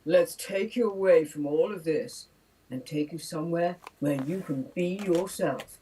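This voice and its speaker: A woman's voice: fairly low pitch, unhurried, dark tone, slightly rough, voice pushed down. A woman doing a gallant young man. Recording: voice from far off the mic.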